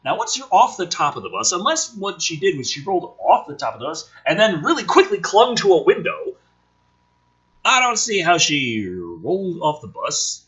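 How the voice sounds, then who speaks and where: A husky-voiced man reading a script aloud dramatically, with exasperated, sweary commentary as host. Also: fairly high pitch, huge pitch swings, normal speed, a bit bright, lo-fi sound. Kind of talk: animated script read-aloud with profane commentary.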